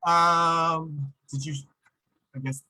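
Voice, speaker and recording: A man's voice: fairly high pitch, level tone, extremely slow, sharp tone. A man hosting an interview over a video call, asking questions hesitantly and restarting them often. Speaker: host.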